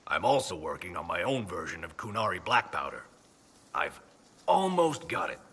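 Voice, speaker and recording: An eager male sapper from a fantasy game, husky and lively in pitch. He boasts a little sheepishly, with long hall reverb. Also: normal speed, full character voice. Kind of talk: video game acting.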